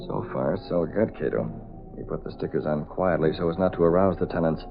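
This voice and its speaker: An actor playing a resolute masked vigilante in vintage radio drama, with a clipped, authoritative, measured delivery. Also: male voice, a bass voice, animated, gravelly, muffled recording, over music, heavily acted. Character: resolute masked male vigilante, cool commanding gentleman. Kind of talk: 1930s-40s radio drama acting. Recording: thin band-limited vintage radio recording.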